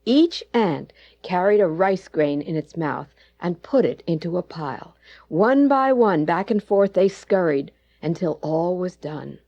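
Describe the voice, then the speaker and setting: Bedtime storyteller: woman, warm mellow tone, very expressive, deliberate, slightly dull cassette sound. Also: on the low side. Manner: gentle, deliberate, dramatic phrasing. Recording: dull digitized cassette, music behind.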